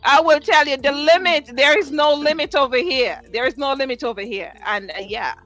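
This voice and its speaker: A woman with a high, bright voice hosts a music show from a studio. She speaks to her live audience effusively and encouragingly, driving points home by repeating them. Music plays faintly behind her. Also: normal speed. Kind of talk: interview and motivational talk to a live audience.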